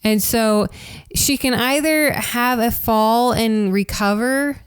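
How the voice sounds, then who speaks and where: A woman's voice: a little high, takes it slowly, clean tone, dry recording. A woman co-hosting a podcast, giving her views in casual, agreeable chat. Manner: agreeable, frequent short backchannels. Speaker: podcast co-host. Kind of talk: casual reality-TV recap chat.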